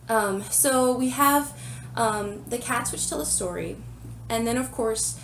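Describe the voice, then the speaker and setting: A woman's voice: on the high side, slow, a bit bright, slight background noise. A woman host with a stuffy head cold, chatting casually through a comic review, full of ums and apologetic asides. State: congested from a cold, sniffling. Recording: roomy, slightly distant sound.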